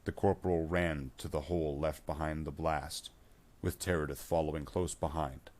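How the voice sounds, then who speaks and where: A man's voice: a bass voice, fairly even intonation, normal speed, darkish tone. A man reading scripted science fiction battle narration.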